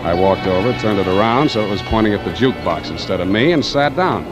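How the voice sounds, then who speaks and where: An actor playing a wry, deadpan private detective in a 1940s radio noir, narrating his case and trading clipped wisecracks. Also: on the low side, fast talker, highs cut off, lightly acted. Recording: vintage radio recording with music.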